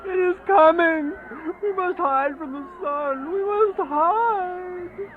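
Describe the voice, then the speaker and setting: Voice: very high-pitched, slow pace. A performer playing a desperate, half-mad, blood-starved vampire, wailing and pleading in a radio horror play. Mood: terrified. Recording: thin phone-like audio, faint noise.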